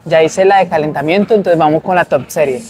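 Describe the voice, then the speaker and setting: A man's voice: fairly high pitch, quick pace, darkish tone. Upbeat young man vlogging at the gym. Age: young adult. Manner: upbeat, chatty, grunting between sets. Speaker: fitness vlogger. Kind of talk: casual vlog chat to camera. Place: large indoor gym, then a shopping mall walkway.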